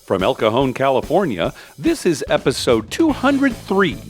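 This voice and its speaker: A man's voice: medium pitch, faint music behind. A man hosting a casual podcast, chatting with his co-host and laughing often. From United States. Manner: lively, laughs often, quick asides. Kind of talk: casual chat. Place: home studio, across from co-host.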